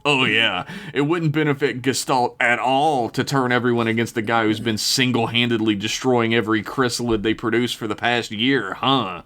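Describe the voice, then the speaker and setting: A man's voice: medium pitch, fairly even intonation, moderate pace, hardly any pauses, fairly bright tone, lightly acted. A man voicing a scornful, exasperated male fighter in a story, sarcastic and bellowing.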